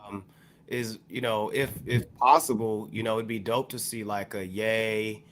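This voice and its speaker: A man co-hosting a casual podcast discussion, speaking in a measured, halting way as he builds his points. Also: lowish voice, steady tone. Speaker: podcast co-host.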